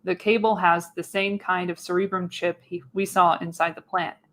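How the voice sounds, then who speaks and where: Slightly low-voiced woman narrating a script. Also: flat delivery, normal speed, fairly bright tone. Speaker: narrator, podcast host. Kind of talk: scripted narration of screenplay stage directions.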